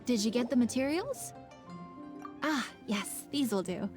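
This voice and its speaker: A woman's voice: a little high, lively intonation, measured pace, music in the background. A woman voicing a friendly young lantern maker in a video game, motherly and coaxing.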